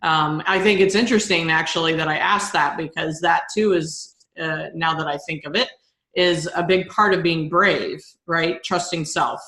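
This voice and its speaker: A woman hosting a podcast, encouraging her guest with warm affirmations and tagging her points with questions. Her voice sits a little low. The recording has a noticeably roomy, echoey quality. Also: moderate pace. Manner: enthusiastic and affirming, with lots of "right?" and "I love that". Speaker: podcast host. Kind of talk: casual interview. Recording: roomy-sounding mic.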